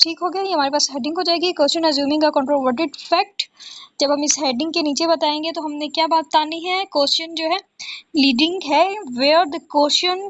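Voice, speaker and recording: Woman, Pakistani accent, high voice, lecturer, repetitive explanations, self-answered questions, dry lo-fi recording. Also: a bit bright, dry recording. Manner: switches between Urdu explanation and slowly read English. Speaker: law lecturer. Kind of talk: exam-prep lecture, reading out and explaining notes. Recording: dull, lo-fi close recording.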